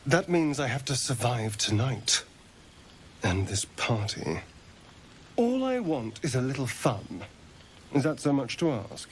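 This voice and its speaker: A man's voice: mid-range voice, sing-song, measured pace, long pauses, slight background noise. A man playing a flamboyant, sardonic, pleasure-seeking rogue in a video game, speaking in a theatrical, disdainful drawl. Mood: sulky, exasperated. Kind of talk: video game character acting.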